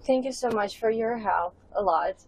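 A woman interviewer asking casual questions in a halting way, searching for words. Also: a little high, gravelly, slight background noise.

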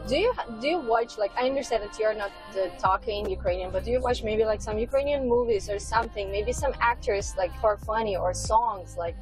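A woman interviewer asking casual questions in a halting way, searching for words. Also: medium pitch, faint music behind, low-quality recording.

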